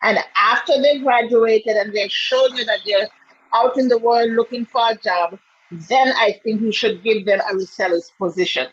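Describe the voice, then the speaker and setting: Friendly female caller, husky tone, dull low-fidelity call audio. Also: steady tone, normal speed. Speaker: call-in guest. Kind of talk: casual group call.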